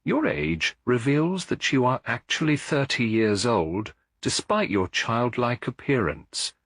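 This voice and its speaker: A man voicing a gentle but firm elderly lady detective in a storybook mystery, polite and probing. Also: mid-range voice, big pitch swings, measured pace, dry recording, studio quality, pitched above the performer's own voice, full character voice.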